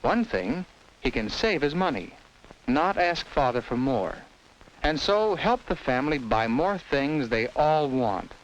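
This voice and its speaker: A man's voice: fairly high pitch, big pitch swings, measured pace, dull audio, slight background noise. An American man narrating a 1950s educational film in simple, instructive storytelling addressed to children, on a vintage film soundtrack.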